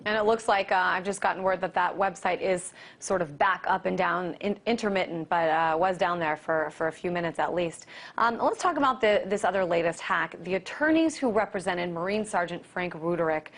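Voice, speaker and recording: A woman's voice: fairly low pitch, fairly quick. A woman with an American accent, working as a news anchor, presenting reports and putting questions to a guest in broadcast style. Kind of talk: broadcast news delivery and live interviewing.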